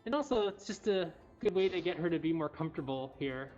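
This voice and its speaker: Low-voiced woman, casual livestream host. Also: lively intonation, quick pace, a little mellow. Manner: informal, affectionate talk to animals. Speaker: cat cafe livestream host. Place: indoors in a cat cafe. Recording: dull livestream audio, music behind.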